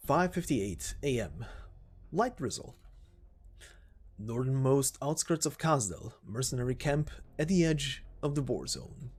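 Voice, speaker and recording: A man's voice: medium pitch, animated, measured pace, husky. A man reading a scripted story in a dramatic narrating style, as an amateur voice actor. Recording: music behind the voice. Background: background music.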